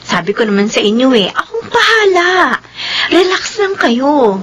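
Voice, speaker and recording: An actress playing a polite, evasive young daughter in a Filipino radio drama. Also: female voice, high voice, big pitch swings, unhurried, fairly bright tone, heavily acted. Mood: reassuring.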